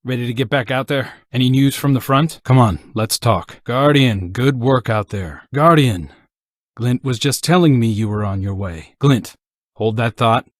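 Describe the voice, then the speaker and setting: An easygoing, thoughtful warrior from a video game, voiced by a man. His short lines are informal and musing, in a middle-pitched male voice with hardly any room sound. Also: moderate pace, no room sound, clean studio sound, lightly acted. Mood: friendly. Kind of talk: scripted game character barks.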